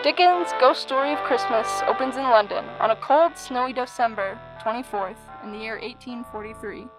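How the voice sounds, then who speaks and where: A woman's voice: a little high, moderate pace, low-quality recording. A woman announcer reading scripted narration to introduce a radio play. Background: music.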